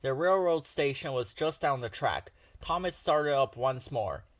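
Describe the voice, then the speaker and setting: A man reads a children's storybook aloud with expressive narration. His voice sits in an ordinary middle pitch, recorded close and dry. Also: unhurried, no room sound. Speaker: storybook reader.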